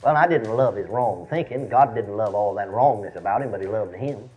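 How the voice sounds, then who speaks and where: A man's voice: on the low side, a little fast, faint music behind. A man in his fifties with a Texan accent preaches a folksy, storytelling sermon, repeating phrases for emphasis and checking in with his listeners. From Texas, United States. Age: 50s. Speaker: preacher and Bible teacher. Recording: dull, lo-fi sermon recording.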